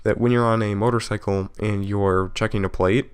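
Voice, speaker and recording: A man narrating a software tutorial casually, explaining step by step in an informal way and correcting himself as he goes. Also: fairly low pitch, steady tone, moderate pace, clean tone. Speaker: video tutorial host.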